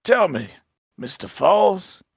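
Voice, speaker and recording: A man voicing a sly, hard-boiled lawyer in a game dub, needling and deliberate as he questions. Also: high-pitched, very animated, creaky, husky, no room sound, highs cut off, heavily acted. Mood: sly, probing. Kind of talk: live fan dub of a visual novel game.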